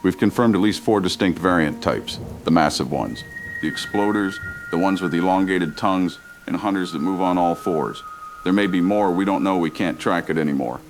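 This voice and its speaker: An actor playing a grave, defeated male health official, measured and grim in a radio drama interview. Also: low voice, lightly acted. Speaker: official spokesman. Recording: faint background noise.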